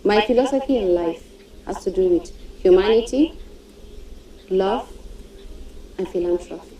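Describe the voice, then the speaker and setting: A woman's voice: mid-range voice, unhurried, mellow, echoey room, recorded from a distance, slight background noise. A woman contestant from the northeast, introducing herself earnestly. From northeastern Nigeria.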